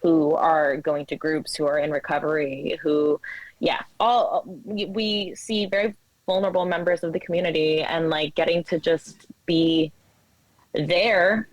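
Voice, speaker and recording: A woman in her twenties speaks in a somewhat low register, recorded dry with very little room sound. She talks like a guest in a casual interview, candid and halting, circling through filler words as she explains herself. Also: steady tone, no room sound, lo-fi sound. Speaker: interview guest, coffee shop manager. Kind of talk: casual conversational interview talk.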